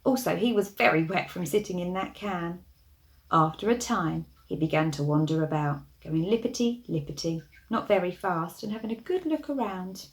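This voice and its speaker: A woman storyteller reading a storybook expressively to young children, with hushed asides and gentle questions to the listeners. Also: on the low side, animated, moderate pace.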